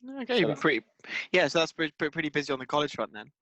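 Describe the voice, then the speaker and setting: A man's voice: fairly high pitch, very animated, a bit bright, no room sound. A man hosting a webinar over a video call, chatty and full of fillers. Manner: quick, chatty, with many fillers. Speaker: webinar host.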